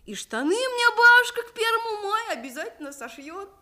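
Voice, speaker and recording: Very high-voiced, dreamy village boy. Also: very animated, brisk, bright, forceful tone, heavily acted. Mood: dreamy, excited. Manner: sing-song, exclaiming, slipping into whispers. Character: lively seven-year-old village boy, dreamy and boastful. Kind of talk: Russian radio play acting.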